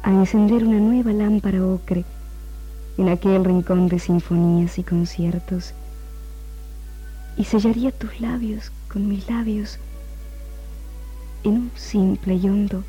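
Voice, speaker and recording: An actress playing a tender, regretful lover voicing an intimate confession letter in a 1960s radio drama. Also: female voice, mid-range voice, warm tone, breathy edge. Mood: longing, hushed. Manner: slow, intimate, breaking into near-whisper. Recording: muffled 1960s radio recording, music underneath. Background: faint music.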